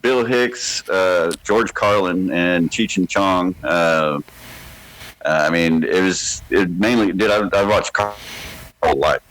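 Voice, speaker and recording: A man who is a stand-up comedian, telling a long, rambling story in a casual chat, with a deep, slightly mumbly voice and plenty of swearing. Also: takes it slowly, a bit bright, rough recording. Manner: rambling, laid-back, swears freely. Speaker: stand-up comedian, podcast guest.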